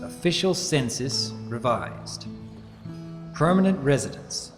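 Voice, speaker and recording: A man voicing an impatient, curt but soft-hearted unicorn baron in a dramatic story reading. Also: mid-range voice, animated, slow, over music. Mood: dry, official. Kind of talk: dramatic reading of fan fiction.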